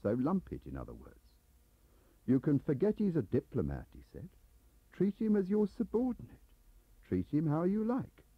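Man playing a husky, aggrieved English clerk. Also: fairly high pitch, wildly swooping pitch, normal speed, dry recording, pitched above the performer's own voice, full character voice. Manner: rambling, confiding, defensive. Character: aggrieved, lonely middle-aged male embassy registry clerk. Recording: dull lo-fi cassette transfer.

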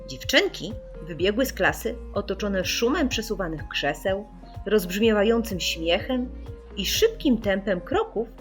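A woman reading a children's audiobook as an expressive storyteller, putting dramatic emphasis on key words. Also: mid-range voice.

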